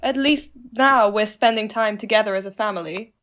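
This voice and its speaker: A woman's voice: medium pitch, normal speed, a bit bright, close to an everyday voice. A woman playing a theatrical, haughty middle-aged wife, sugary but cutting, in a comedy audio drama. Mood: sweet. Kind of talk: hobby comedy murder-mystery audio drama.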